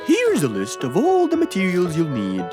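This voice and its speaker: Woman voicing a very animated, clever cartoon fox. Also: on the low side, moderate pace, music in the background, pitched below the performer's own voice. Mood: friendly, upbeat. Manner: animated, helpful, expressive. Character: clever, kindly cartoon fox inventor and detective, male. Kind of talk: children's animated bedtime story dialogue.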